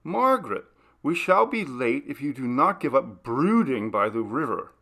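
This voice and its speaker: A man's voice: mid-range voice, very animated, normal speed, sharp tone, voice pushed up, heavily acted. A man reading aloud, voicing a fretful young woman in a storybook who keeps calling her friend back. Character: young woman, Carla, anxious and fussing.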